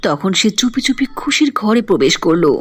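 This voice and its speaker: A woman tells a scripted cartoon story. Her voice is medium in pitch, with expressive intonation that moves up and down a great deal. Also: fairly quick, breathy edge. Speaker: narrator.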